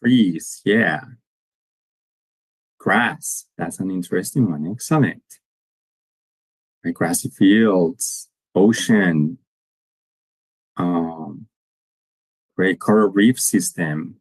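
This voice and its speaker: A man's voice: low voice, drawn-out words, pauses often, husky, dry recording, rough recording. A man, giving an earnest conference talk to students and restarting his phrases often. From Colombia. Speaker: guest speaker.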